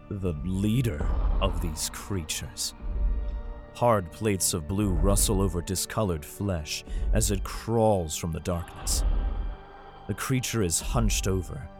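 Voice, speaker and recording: An actor playing a grim, weary soldier who narrates his ordeal in an audio drama, grave and measured. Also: deep voice, a little mellow. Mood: grim dread.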